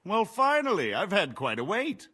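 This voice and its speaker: An actor playing a scheming imprisoned knight who talks slyly and self-pityingly in a video game cutscene. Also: male voice, high-pitched, huge pitch swings, normal speed, pushed and bright, breathy edge, full character voice. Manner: sly, wheedling, self-pitying. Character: scheming imprisoned robber knight, older man.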